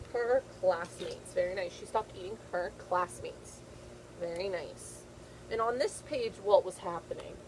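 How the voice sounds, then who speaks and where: A woman's voice: on the high side, background noise. A woman teacher reading a picture book aloud to young children, repeating phrases and checking in with simple questions.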